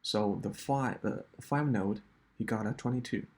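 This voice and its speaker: A man walking through a coding solution step by step, in a halting explanatory way. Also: lowish voice. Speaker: programming tutor.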